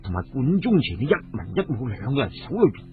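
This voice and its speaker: Man playing a grave, low-voiced ancient warrior. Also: sing-song, natural voice. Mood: grave, urgent. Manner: solemn, measured, low and hushed. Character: grave male ancient warrior reporting danger. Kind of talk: storybook character dialogue. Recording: tinny narrow-band radio sound, music behind.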